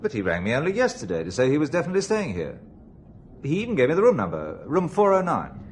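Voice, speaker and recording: A man's voice: on the high side, huge pitch swings, fast talker, a little hiss or hum. An actor playing a polite, slightly hesitant middle-aged English businessman in an audio drama. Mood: courteous.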